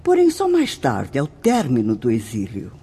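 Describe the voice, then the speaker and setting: A woman's voice: extremely low pitch, huge pitch swings, measured pace, dark tone, husky, heavily acted. A Brazilian woman playing a venerable nun who guides spirits in a radio drama, speaking in a solemn, declamatory, tenderly instructive way. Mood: gentle instruction. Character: venerable, devout nun guiding spirits in the afterlife.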